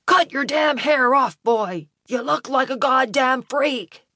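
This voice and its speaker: Lady narrator doing angry drunk dad yelling at his kid, belligerent, voice pushed up, storybook. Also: high-pitched, slow, dry recording, clean studio sound, voice pushed up, exaggerated voice. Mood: belligerent anger. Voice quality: shouting, forceful. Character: drunk, abusive, belligerent father raging at his son.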